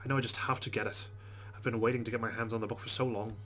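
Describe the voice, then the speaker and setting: A man's voice: on the low side, level tone, rapid-fire, fairly bright tone, a little hiss or hum. A man hosting a let's play, reading visual novel text aloud and adding informal, chatty commentary.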